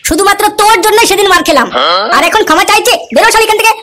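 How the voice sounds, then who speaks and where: Woman as angry young cartoon husband, foul-mouthed, boastful, bright piercing tone, rapid delivery. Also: very high-pitched, a little hiss or hum. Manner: scolding, crude, combative. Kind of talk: amateur cartoon comedy dialogue.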